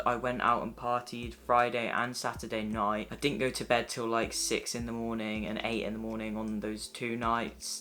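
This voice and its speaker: A young man of nineteen talks casually and cheerfully to his viewers. His tone is bright and lively, and he keeps dropping "like" between his words as he chats. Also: medium pitch, slight background noise. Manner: chatty, upbeat, many "like" fillers. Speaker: vlogger. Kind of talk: casual talk to camera.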